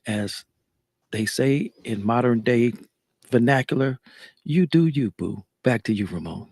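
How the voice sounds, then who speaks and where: A man, a pastor, joining a live call to share a measured, folksy business remark. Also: medium pitch, darkish tone, dry recording, studio quality. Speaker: pastor, audience member joining.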